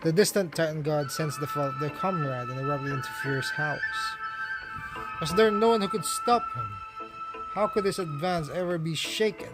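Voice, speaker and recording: A man's voice: a little high, normal speed, over loud music, low-quality recording. A man reading a story aloud as a dramatic, emphatic narration. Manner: theatrical, emphatic storytelling delivery.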